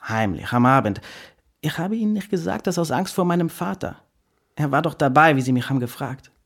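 A butcher's son in a radio drama, a fearful man confessing under strain. His voice is gravelly and husky, recorded dry and close. He talks haltingly, turning his sentences around. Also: fairly high pitch, animated, a bit bright, dry recording, exaggerated voice. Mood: anguished confession. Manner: halting, inverted Yiddish-like word order.

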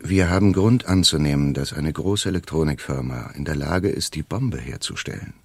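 An eager, wry sidekick in a German radio thriller. His voice is low and rough-edged, reasoning deliberately in a dry acoustic. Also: no room sound, heavily acted. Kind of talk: German radio play acting.

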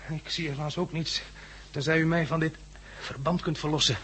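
A man's voice: on the high side, fairly even intonation, normal speed, fairly bright tone, slightly rough. An actor playing a sardonic, irritable male hospital patient in a 1960s Dutch radio drama. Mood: tense, wry. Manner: grumbling, sardonic, talking to himself. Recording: hissy 1960s radio recording.

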